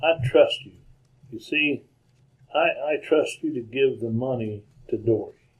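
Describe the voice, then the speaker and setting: A man acting as a wounded outlaw of the American West in an old-time radio drama. He has a middle-pitched voice and pleads earnestly in plain frontier talk, halting and repeating himself. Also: close to an everyday voice, rough recording. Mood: pleading. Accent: American Western. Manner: halting, folksy, repeats words. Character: dying wounded gunslinger, earnest, pleading with gruff dignity.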